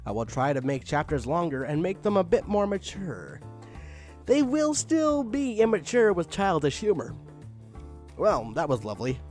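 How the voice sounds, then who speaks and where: A man's voice: a little high, sing-song, moderate pace, darkish tone, soft background music. A man reading a badly written fan story aloud in a mock-dramatic, exasperated way. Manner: exasperated, mock-dramatic, sighing and swinging into outbursts. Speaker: reader of fan fiction.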